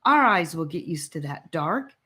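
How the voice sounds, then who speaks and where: A woman's voice: sits low, big pitch swings, normal speed, pushed and bright, slightly rough, exaggerated voice. A woman playing a frightened, reluctant boy in an audiobook adventure, sounding nervous and unsure. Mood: fearful, reluctant.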